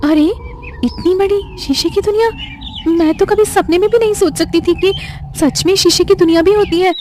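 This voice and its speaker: Woman voicing a very high-pitched, brave young cartoon heroine. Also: normal speed, darkish tone, a little hiss or hum. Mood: amazed. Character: brave, earnest young heroine on a rescue quest. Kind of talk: Hindi cartoon moral story acting.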